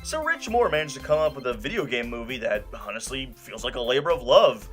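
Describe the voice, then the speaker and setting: Animated man narrating a scripted review. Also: medium pitch, fairly quick, faint music behind. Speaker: film reviewer, show host.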